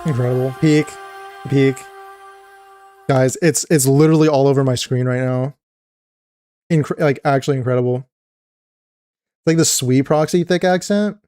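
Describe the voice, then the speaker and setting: A man streaming a live reaction to a game trailer, excited and chatty with many restarts. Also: mid-range voice, a little slow, long pauses, faint music behind.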